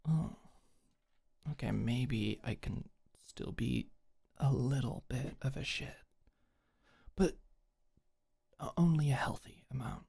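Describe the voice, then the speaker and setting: A young woman playing a tough but awkward, secretly tender delinquent girl in an intimate ASMR roleplay, speaking softly and hesitantly. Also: very deep voice, takes it slowly, creaky, pauses often, slightly rough, full character voice. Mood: teasing, sheepish.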